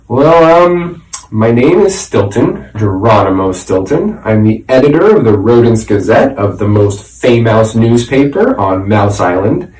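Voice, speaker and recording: A man voicing a timid, excitable, self-important male mouse who edits a newspaper, in a dramatic storybook manner. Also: measured pace, roomy sound, recorded from a distance, rough recording. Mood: hesitant, answering himself. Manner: squeaky, dramatic.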